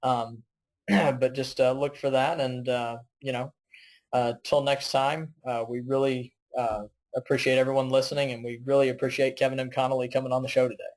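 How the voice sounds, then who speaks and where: A man's voice: medium pitch, level tone, normal speed, rough recording. A man hosting a podcast, talking casually with a guest and with listeners. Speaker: podcast host. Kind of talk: casual interview chat.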